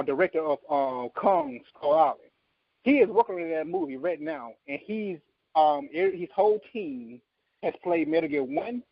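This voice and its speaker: Creaky, higher-pitched man arguing his opinion. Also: slight background noise. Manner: emphatic, insistent, argues with examples. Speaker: podcast panelist. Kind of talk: casual opinionated chat. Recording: slightly dull, lo-fi audio.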